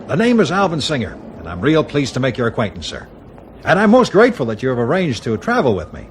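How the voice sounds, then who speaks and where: A man playing a genial, smooth-talking American sales executive in a radio drama, effusive and formally courteous. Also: mid-range voice, huge pitch swings, quick pace, slight background noise. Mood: affable.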